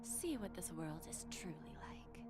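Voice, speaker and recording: A woman's voice: lowish voice, very animated, a little slow. An actress playing a poised, shrewd noblewoman who bargains patiently in formal, teasing phrases, in a dramatic video game scene. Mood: assured, enticing. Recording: loud music behind the voice. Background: loud music.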